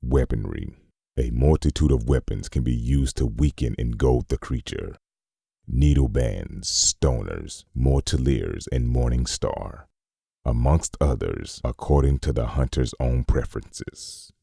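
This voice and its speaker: Extremely deep, gravelly, solemn hunting instructor voiced by a man. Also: unhurried, warm tone, dry recording, studio quality, full character voice. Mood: solemn, ominous. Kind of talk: scripted dramatic narration for a comic dub.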